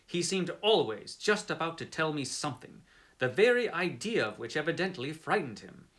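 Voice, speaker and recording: A man's voice: a little high, sing-song, normal speed, fairly bright tone. A man reading a classic horror story aloud in a melodramatic, theatrical style. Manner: theatrical, dramatic narration with wide swings in emphasis.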